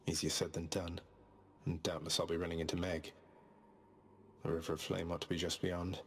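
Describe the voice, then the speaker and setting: An actor playing a self-assured young prince in a video game, speaking in a dry, understated, sardonic way. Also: male voice, sits low, flat delivery, moderate pace, breathy edge. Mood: determined, dry. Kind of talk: video game character acting.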